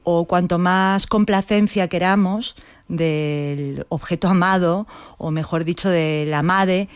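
A woman's voice: on the low side, slow, clean tone, no echo. Female playwright guest, reflective talker, resonant clean voice, dry close sound. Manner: reflective, often seeks agreement with tag questions. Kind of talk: reflective conversational interview.

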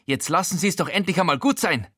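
A man's voice: high-pitched, expressive, quick pace, a bit bright, slightly rough, no room sound, studio quality, voice pushed up, heavily acted. A man voicing a grumbling, self-justifying landlord in an audiobook, pedantic and exasperated.

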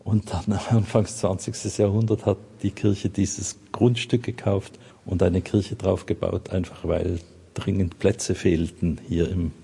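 Older male guide, Swiss accent, very husky and gravelly, casual unscripted explanation. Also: low-pitched, level tone, unhurried, warm tone. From Switzerland. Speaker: interviewee, church community guide.

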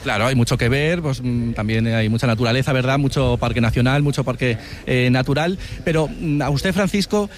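A man reports for radio from an outdoor square, putting brisk, leading questions to the people he meets. He talks at a quick clip. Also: mid-range voice. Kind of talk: on-location radio interview.

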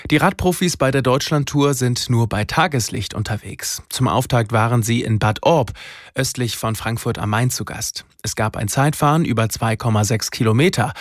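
A man's voice: mid-range voice, moderate pace, dry recording, clean studio sound. A man presenting sports news on a broadcast in a scripted style.

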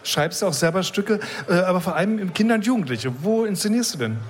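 Rambling male radio host, slightly high voice. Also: lively intonation, fast talker. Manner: rambling, restarts sentences, chuckles. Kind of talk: radio interview.

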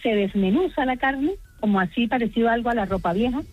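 High-voiced Colombian woman calling in. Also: fast, lo-fi sound. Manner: talkative, detailed, listing things. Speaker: radio phone-in caller. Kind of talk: chatty explanation of home cooking.

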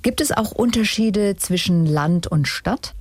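A woman's voice: medium pitch, lively intonation, a little slow, darkish tone, breathy edge, no echo. A woman presenting a radio programme in a composed, structured way, with scripted introductions and interview questions. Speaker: radio host interviewing an expert. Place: radio studio.

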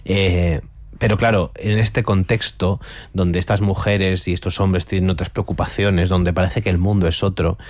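A man's voice: deep voice, little pitch movement, normal speed. A young man from Almería with a mostly neutral Spanish accent, a guest author reflecting aloud in a radio interview with many restarts and "¿no?" tags. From Spain. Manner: reflective, with frequent restarts and tag questions.

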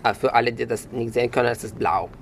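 A man, an intern speaking German haltingly. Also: mid-range voice, slight background noise. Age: 20s. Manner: halting, with grammar slips. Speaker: festival intern.

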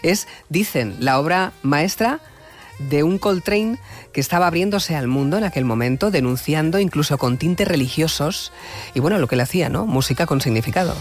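A man hosting a late-night radio interview, speaking in a deliberate, musing way with rhetorical flourishes. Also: on the high side, lively intonation. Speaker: radio host interviewing a musician. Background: music playing under the talk.

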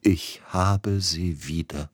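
A man's voice: low voice, normal speed, husky, dry recording. A man reading the part of a dying composer in a fever, agitated and exclamatory, swinging between accusation and tenderness, in an audiobook. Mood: tearful, tender.

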